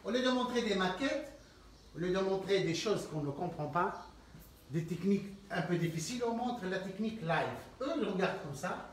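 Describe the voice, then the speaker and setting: High-voiced Tunisian man over clear noise. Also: animated, moderate pace. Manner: explanatory, repeats words for emphasis. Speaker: artist and cultural centre owner showing a visitor around. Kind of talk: spontaneous guided tour. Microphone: visitor's handheld phone. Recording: noisy phone recording on location.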